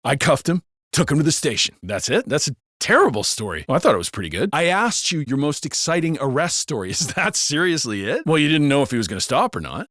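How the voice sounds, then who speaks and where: A man with an American accent acting a gruff, foul-mouthed beat cop in a video game, with sardonic delivery. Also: fairly high pitch, very animated, quick pace, fairly bright tone, no room sound, studio quality. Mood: sardonic banter.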